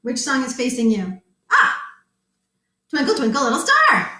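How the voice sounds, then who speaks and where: A woman's voice: high voice, wildly swooping pitch, moderate pace, bright, forceful tone, echoey room. A woman leading an interactive children's story time as a librarian, enthusiastic and encouraging as she talks to young children.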